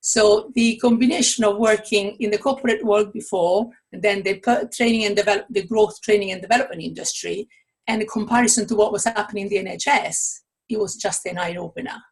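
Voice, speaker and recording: A woman's voice: mid-range voice, fairly even intonation, a little fast, breathy edge, poor audio. A middle-aged woman from Italy with an Italian accent, answering interview questions as an author and coach, thoughtful, with many fillers and restarts.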